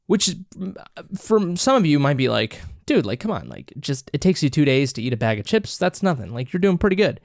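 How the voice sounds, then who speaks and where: A man's voice: a little high, very animated, brisk, no echo, clean studio sound. A congested man hosting a podcast, chatting casually and rambling with many restarts while he snacks. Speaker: podcast host. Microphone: own camera and mic, close and dry.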